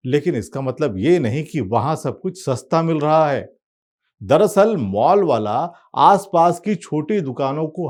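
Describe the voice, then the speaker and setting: Wry male presenter with high, lively pitch. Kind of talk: satirical monologue to viewers.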